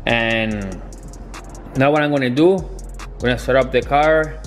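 A young man talking casually in a garage workshop, filming himself on a handheld camera, halting and restarting as he explains his car work. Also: mid-range voice, soft background music. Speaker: car builder.

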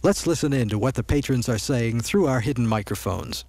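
A man's voice: medium pitch, expressive, a little fast, noisy background, natural voice. A man playing a confiding announcer in a parody radio coffee commercial. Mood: confiding, promotional. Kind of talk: parody hidden-camera ad voice-over.

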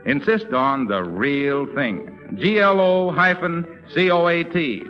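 A man's voice: a little high, expressive, slow, faint music behind. A man with an American accent announcing in scripted 1930s radio style. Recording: muffled 1930s radio broadcast.

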